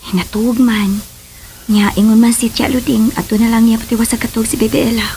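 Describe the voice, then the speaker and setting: A woman's voice: fairly high pitch, flat delivery, a little fast, dark tone, a little hiss or hum, lightly acted. A woman acting a sweet, coy young wife and mother in a Philippine radio drama. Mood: content.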